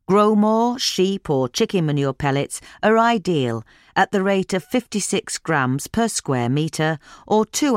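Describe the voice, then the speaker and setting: A woman's voice: sits low, dry recording, studio quality. A British woman reading a scripted magazine article as a narrator.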